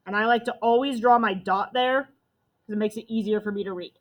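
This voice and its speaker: A young woman with a loud voice, teaching a math class step by step. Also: medium pitch, steady tone, fairly quick. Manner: step-by-step explaining to students.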